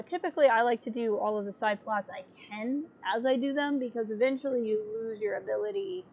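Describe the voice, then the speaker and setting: A woman streaming a video game, chatty and a little sniffly, thinking out loud with fillers as she plays. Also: fairly high pitch, gravelly, muffled recording, slight background noise. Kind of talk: casual gameplay commentary. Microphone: desk mic with webcam.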